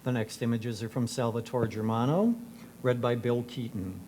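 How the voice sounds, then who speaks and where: A man's voice: medium pitch, steady tone, moderate pace, a little mellow. Man announcing with faint background noise. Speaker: presenter introducing photographers and readers. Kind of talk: scripted announcing.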